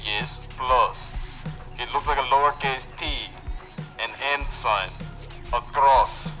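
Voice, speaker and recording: A man's voice: mid-range voice, takes it slowly, sharp tone, faint music behind. A man playing a loyal but exasperated tech operator in a sci-fi audio drama, giving terse step-by-step commands. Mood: urgent, instructing. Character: bossy tech operator guiding an injured friend remotely.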